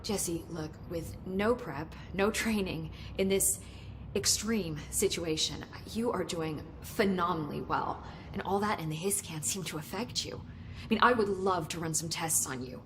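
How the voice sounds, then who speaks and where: An eager, curious woman scientist speaks with a slightly low voice. She talks earnestly, hurrying through some phrases and slowing on others. Clear ambient noise runs under the game scene. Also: fairly bright tone.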